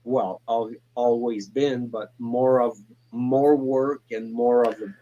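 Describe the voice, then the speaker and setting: A man in his forties calling in to a talk show, speaking in a halting way with many restarts and fillers. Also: medium pitch, fairly even intonation, mellow, muffled recording. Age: 40s. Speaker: caller. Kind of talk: casual call-in chat.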